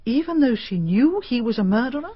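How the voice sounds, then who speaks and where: An excitable, curious woman, puts questions with animated, incredulous inflection. Her voice is high and husky. The radio drama recording is slightly muffled. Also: brisk, dry recording. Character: inquisitive, excitable woman pressing a detective with questions.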